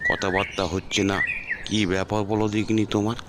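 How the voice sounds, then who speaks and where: A man's voice: on the low side, flat delivery, a little fast, lightly acted. A man voicing a helpful, reassuring elder-brother figure who gives advice in a cartoon ghost story. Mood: concerned. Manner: reassuring, advising. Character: Poltu-da, helpful, reassuring elder-brother village problem solver. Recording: clear background noise, birds and crickets.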